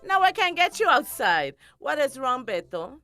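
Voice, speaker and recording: Doting, worried mother, female actor, affectionate and fretting, lively pitch movement, dramatic scene. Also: normal speed, faint music behind, heavily acted. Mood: worried, coaxing.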